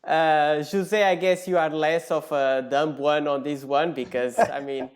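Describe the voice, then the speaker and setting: A man's voice: on the high side, fairly even intonation, measured pace. A man from Portugal, a native Portuguese speaker, hosting a casual group talk in a hesitant, self-deprecating manner. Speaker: host of an online talk. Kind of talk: casual moderated group chat.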